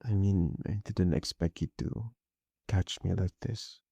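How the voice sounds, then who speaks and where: Man acting a low, slow, jealous Filipino boyfriend. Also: vocal fry, breathy edge, dry recording, exaggerated voice. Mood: shy, vulnerable. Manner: soft, hesitant, Taglish code-switching. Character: jealous, clingy, soft-hearted young boyfriend, playfully insecure. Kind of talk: intimate boyfriend roleplay ASMR.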